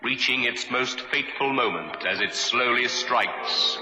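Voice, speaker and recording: Ominous radio announcer in cavernous reverb. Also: male voice, mid-range voice, bright, forceful tone. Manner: portentous, deliberate. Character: grave, ominous male narrator personifying fateful time. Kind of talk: dramatic radio series announcement. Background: music and clock ticking.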